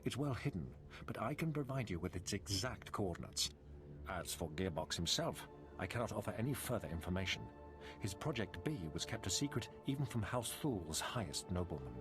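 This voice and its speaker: Man acting a solemn, low-voiced nobleman. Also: animated, music in the background, close to an everyday voice. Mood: grave. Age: middle-aged. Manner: solemn, formal, measured. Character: grave middle-aged nobleman, devoted husband and father. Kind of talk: video game cutscene acting.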